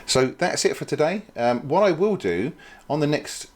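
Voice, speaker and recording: A man's voice: fairly high pitch, lively intonation, moderate pace, a little mellow. A man presenting an informal product demonstration, explaining things in a hesitant, thinking-aloud way.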